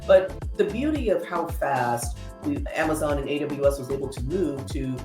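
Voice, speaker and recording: A woman from San Diego whose first language is Spanish, co-hosting a casual tech chat over a video call, expressive and checking in with "right?". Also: deep voice, normal speed, echoey room, over music. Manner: expressive, says "right?" often. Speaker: co-host, coach.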